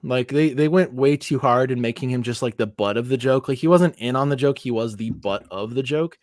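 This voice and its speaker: A man's voice: mid-range voice, brisk, no echo, studio quality. A man co-hosting a podcast, talking animatedly about movies in casual chat, swearing often and filling his talk with "like". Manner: animated, heavy swearing, lots of "like". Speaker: podcast co-host.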